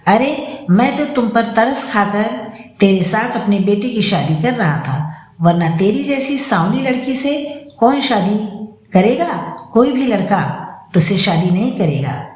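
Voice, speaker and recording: A woman narrator voices a greedy, arrogant older man in an audio story. The delivery is slow, sarcastic and menacing. The sound is roomy and echoing. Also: medium pitch, lively intonation, darkish tone, natural voice. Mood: sneering. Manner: sarcastic, threatening.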